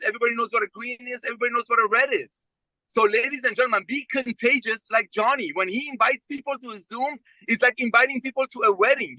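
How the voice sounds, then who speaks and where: A man's voice: sits high, pushed and bright, dry recording, poor audio. A man giving a rousing motivational speech to a sales team, exhorting them like a preacher.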